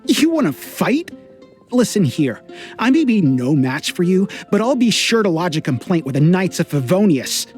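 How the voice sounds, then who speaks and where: A resentful, defiant townsfolk worker in a fantasy game. He sounds exasperated and confrontational, and his pitch swings widely. Light music plays under him. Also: sing-song, moderate pace, darkish tone.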